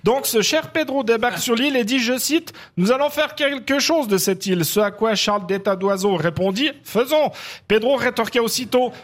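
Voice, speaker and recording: A man with a Swiss French accent telling an absurd made-up story as a quiz panelist, deadpan and cracking himself up. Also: extremely high pitch, normal speed. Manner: deadpan absurd storytelling, laughing at own jokes. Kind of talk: comic storytelling quiz answer.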